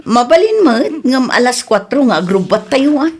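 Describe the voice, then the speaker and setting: A woman's voice: sits high, sing-song, normal speed, a little mellow, slightly rough, exaggerated voice. A woman acting in an Ilocano comedy radio drama as an exasperated older female cousin who scolds latecomers, with an Ilocano accent. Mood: firm, annoyed.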